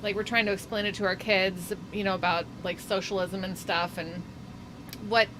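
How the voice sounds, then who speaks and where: A woman in her forties co-hosting a casual talk show, chatty and quick to laugh. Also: medium pitch, flat delivery, background noise. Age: 40s. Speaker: co-host.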